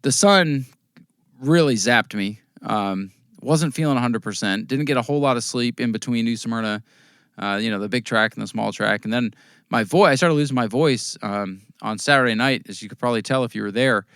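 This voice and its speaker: Hoarse american guy rambling through race results, smooth close voice, dry sound. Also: mid-range voice, moderate pace, a bit bright, no room sound. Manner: rambling, conversational, lists results with fillers. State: losing his voice, coughing at times. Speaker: podcast host recapping local racing. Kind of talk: casual race recap talk.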